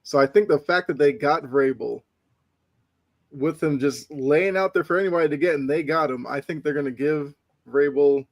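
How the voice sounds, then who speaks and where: A man on a casual sports podcast panel who explains his points at length in a measured way. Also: on the high side.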